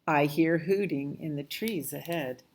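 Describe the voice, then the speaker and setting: A woman reads as a big male monster from a children's storybook. He is gullible and easily impressed, speaking in a low, measured, slow voice. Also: a little mellow. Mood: uneasy, doubtful.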